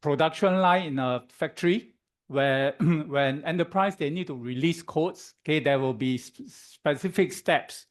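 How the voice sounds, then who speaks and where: A man with a hoarse voice giving a presentation at an evening meetup in an office room. He speaks haltingly with many "um"s and keeps checking in with the audience with "okay?". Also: a little high. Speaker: guest speaker at a tech meetup. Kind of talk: conference presentation.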